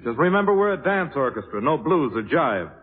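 Bossy, high-pitched band leader. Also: male voice, big pitch swings, a little fast. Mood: businesslike. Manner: curt, commanding. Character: brisk, bossy dance-band leader, strict and irritable. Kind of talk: 1950s radio drama acting. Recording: tinny old radio broadcast, loud music.

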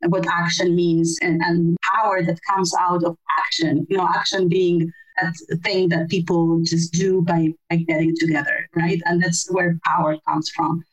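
A middle-aged woman from Argentina speaks in a lower register. She thinks aloud as she answers, pausing on fillers and restarting sentences. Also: flat delivery, poor audio. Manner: thoughtful, many fillers and restarts. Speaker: podcast guest, civil society researcher. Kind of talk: conversational interview answer.

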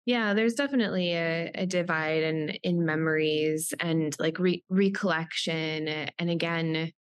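A woman with a slightly low voice and a first language of Polish talks reflectively as a podcast guest. She gropes for words and fills her pauses with "like". The sound is dry and close. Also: clear, resonant tone, no echo. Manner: reflective, searching for words with many "like"s. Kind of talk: podcast interview.

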